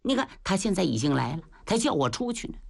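A woman doing a slow, low old Taoist master. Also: very animated, creaky, exaggerated voice. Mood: calm. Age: older. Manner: slow, solemn and soothing. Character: old male Taoist master, half-blind, calm.

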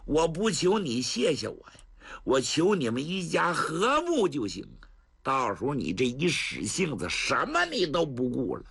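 A man's voice: fairly high pitch, vocal fry, a bit bright, husky, no echo, heavily acted. A male storyteller playing a blunt old general in his eighties, scolding and coaxing a young man in an earthy, exasperated way.

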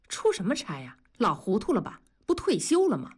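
A sharp-tongued wife from an audiobook , acted by a woman reader. She is bossy and mocking, with brisk colloquial northern Chinese speech and very lively pitch. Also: breathy edge, exaggerated voice. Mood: irritated, scolding.

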